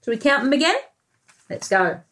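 A woman's voice: sits high, sing-song, moderate pace, a bit bright. A woman in her thirties with an Australian accent, a preschool teacher reading a storybook aloud expressively with comments for young children. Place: small bright room indoors.

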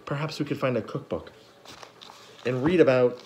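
A man's voice: medium pitch, moderate pace, darkish tone, slight background noise, natural voice, poor audio. A man doing an anxious bookish boy. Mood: earnest. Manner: earnest, rising to upset outbursts. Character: bookish boy, early teens, anxious and earnest.

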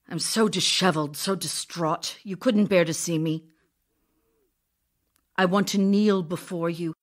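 An actress playing a grieving, longing widow in an audio drama, reading intimate letters in a tender, wistful, expressive way. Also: female voice, medium pitch, natural voice. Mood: distraught, longing. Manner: tender, wistful, emotionally expressive, pausing delivery.

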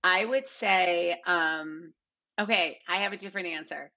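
Bright, low-pitched American woman, dull call audio. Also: measured pace. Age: middle-aged. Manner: animated, emphatic, piles up quoted asides. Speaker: guest, TV and film casting director. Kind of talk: casual interview chat. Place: bright living room on a video call.

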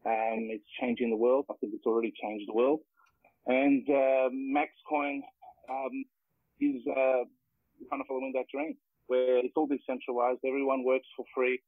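A man's voice: mid-range voice, flat delivery, moderate pace, no echo. An Australian man in his forties, a guest introducing himself casually over a remote call. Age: 40s. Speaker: interview guest, cryptocurrency project team member. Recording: muffled phone-like internet call.

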